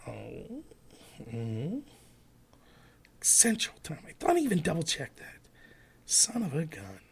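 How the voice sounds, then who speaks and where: A man's voice: fairly high pitch, wildly swooping pitch, takes it slowly, gravelly, a little hiss or hum. A man from Pennsylvania hosting a casual livestream on a headset at home, grumbling to himself with mild curses and airing blunt political opinions.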